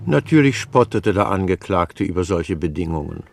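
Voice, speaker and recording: An actor narrating a radio drama as a wry, knowing chronicler. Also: male voice, low voice, animated, normal speed, creaky, faint music behind.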